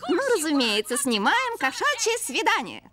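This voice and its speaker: A woman's voice: high-pitched, big pitch swings, normal speed, bright, forceful tone, exaggerated voice. A woman voicing a bossy, flirtatious female cat in a cartoon dub, coquettish and commanding. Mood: coy, flirtatious. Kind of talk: cartoon dubbing. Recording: music behind the voice.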